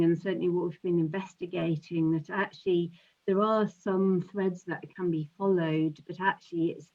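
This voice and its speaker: A woman hosting an online talk, asking her guest gentle, hesitant questions over a video call. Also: on the low side, dull audio. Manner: gentle, hesitant, many fillers. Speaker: host. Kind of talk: interview questions.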